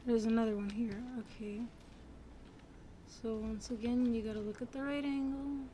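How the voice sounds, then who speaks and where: Soft-spoken young woman with a high voice. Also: fairly even intonation, normal speed, a little hiss or hum. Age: 20s. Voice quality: youthful-sounding. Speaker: gaming video creator. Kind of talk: casual gameplay commentary. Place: voice recorded over video game audio. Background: game music.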